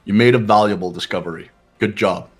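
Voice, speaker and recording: A man's voice: on the low side, brisk, soft background music, lightly acted. A man voicing a haughty, cool, condescending young man in a game playthrough. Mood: cool, commanding. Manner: condescending, formal. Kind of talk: voiced visual novel game playthrough.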